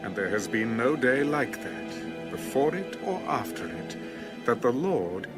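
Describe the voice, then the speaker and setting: A man narrating an ancient scripture story in a solemn, dramatic, measured storytelling style. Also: medium pitch, big pitch swings, loud music behind the voice. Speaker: Bible narrator on a recorded audio reading.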